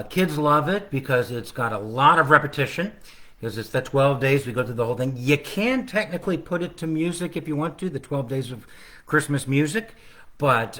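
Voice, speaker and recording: Bright, chatty man reading a storybook. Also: mid-range voice. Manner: chatty, rambling, playful asides to audience. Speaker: children's author reading own book aloud. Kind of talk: casual livestream storytime read-aloud. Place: at home, living room.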